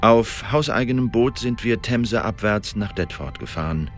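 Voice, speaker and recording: An actor playing an Elizabethan secret agent who gives a precise, measured official report in a radio drama monologue. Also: male voice, on the low side, animated, soft background music, natural voice. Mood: careful, narrating.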